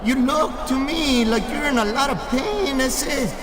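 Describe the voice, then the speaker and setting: Cocky Mexican-American wrestler, game promo, mocking street slang, unhurried delivery, very loud crowd noise. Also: male voice, very high-pitched. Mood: gloating.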